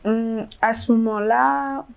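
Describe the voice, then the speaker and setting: A woman's voice: on the high side, fairly even intonation, slow pace, creaky, dull audio, a little hiss or hum. A woman in her twenties from Congo, telling her story in a casual interview, hesitant with many fillers and restarts. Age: 20s. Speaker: interviewee, fashion blogger.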